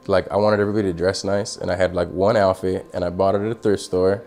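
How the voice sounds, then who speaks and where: A man's voice: low voice, level tone, fast, a little mellow. An American man in his thirties talking casually and in a laid-back way in a documentary interview. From San Diego, California, United States. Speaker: barbershop owner. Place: inside a busy barbershop. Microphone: lapel mic. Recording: background noise of busy barbershop.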